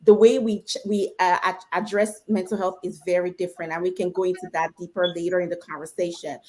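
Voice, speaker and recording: A woman's voice: lowish voice, darkish tone. A middle-aged woman from Nigeria, a doctor on a webinar panel, earnestly telling a personal story.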